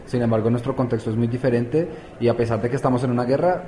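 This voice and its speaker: A man's voice: medium pitch, quick pace, dark tone, slight background noise. A man from Colombia, answering interview questions as a youth activist, hesitant with many fillers and restarts.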